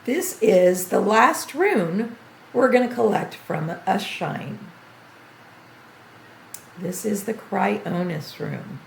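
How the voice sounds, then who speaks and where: An older woman streaming a video game tutorial, chatty and thinking aloud as she plays, her voice going a bit hoarse. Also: medium pitch, expressive, slow, long pauses, reverberant, a little hiss or hum. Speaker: gaming streamer. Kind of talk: game tutorial livestream commentary.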